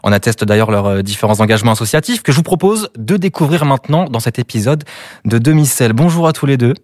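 A man's voice: mid-range voice, animated, quick pace, a little mellow, no room sound, studio quality. A man from Brittany hosting a conversation with guests, upbeat, with playful game-show asides. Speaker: podcast host. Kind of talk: hosted conversation.

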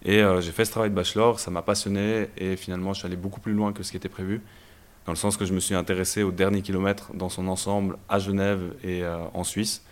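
A young man from Belgium, a startup founder telling his story in a casual interview, relaxed and hesitant with many fillers. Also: sits low, quick pace. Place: startup incubator office.

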